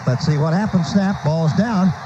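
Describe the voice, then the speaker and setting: A man's voice: a little high, moderate pace. An American man doing live radio play-by-play of a football game, calling each play in clipped present-tense phrases. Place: stadium broadcast booth. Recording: muffled, noisy old radio broadcast.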